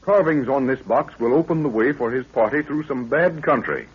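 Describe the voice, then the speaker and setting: A man's voice: medium pitch, animated, moderate pace, slightly rough. A man narrating a 1930s radio serial in a solemn, melodramatic, declamatory way. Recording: muffled old 1930s radio recording.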